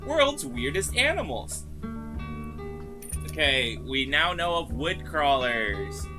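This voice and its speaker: A streamer giving casual live reaction commentary in an excitable, sweary, joking manner. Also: medium pitch, slow, music in the background.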